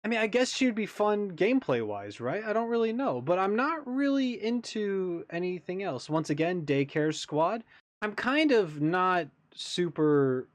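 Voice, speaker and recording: A man's voice: fairly high pitch, dry recording. A man hosting a gaming reaction video, thinking aloud in casual, tentative, improvised commentary. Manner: tentative, musing.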